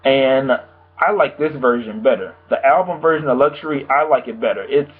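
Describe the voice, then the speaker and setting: A young man, slightly hoarse from a sore throat, giving a rambling, casual album review full of fillers. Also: mid-range voice, normal speed. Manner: rambling, loose, full of "like" and "you know". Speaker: music reviewer. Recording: tinny phone-like sound.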